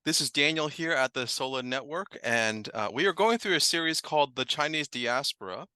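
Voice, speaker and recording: A man's voice: fairly high pitch, expressive, normal speed, bright, forceful tone, smooth. An American man hosting a conversational interview. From United States. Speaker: interview host.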